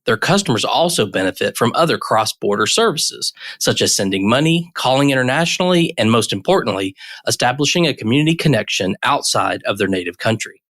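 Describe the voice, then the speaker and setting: A man's voice: medium pitch, a bit bright, no room sound, studio quality. A man hosting a podcast, giving introductions and putting questions to a guest. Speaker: podcast host and interviewer. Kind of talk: scripted introduction and interview questions.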